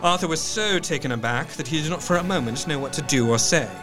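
An earnest, sorrowful gentleman narrator, played by a man in a gothic audio drama. His somewhat high voice delivers literary prose with measured gravity, over faint music. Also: lightly acted. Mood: tense, grave.

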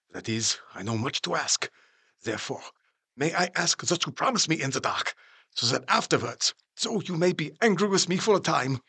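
An older professor and vampire hunter, gravelly and solemn. His voice swoops through a wide range as he speaks with deliberate, halting phrasing. He is grave and resolute, made for gothic audio drama. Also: on the high side, sharp tone, exaggerated voice. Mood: solemn, pleading.

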